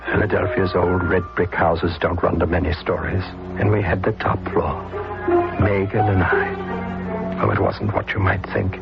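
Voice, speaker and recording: Deep, gravelly, lovesick suitor. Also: male voice, steady tone. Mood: wistful narration. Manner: earnest, halting. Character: lovesick, hesitant, sober-sided Philadelphia man devoted to his sweetheart. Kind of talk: radio drama acting. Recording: muffled radio drama recording, music behind.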